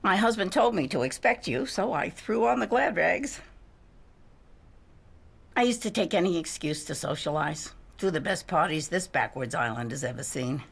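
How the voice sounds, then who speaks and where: Faded society widow, elderly, low and gravelly, wistful, theatrical game monologue. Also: female voice, expressive, normal speed, exaggerated voice. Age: older. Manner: theatrical, reminiscing. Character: faded socialite widow, old woman, wistful and haunted.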